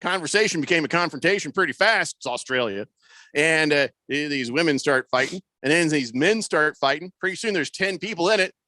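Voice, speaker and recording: A man hosts a casual sports radio show from a studio mic over a video call. His voice is bright and forceful, a little higher than most men's. The sound is close and dry, and he drifts from story to story, reading comments out as he goes. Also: lively intonation, brisk, dry recording. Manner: storytelling, reads listener comments aloud. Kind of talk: casual talk show banter.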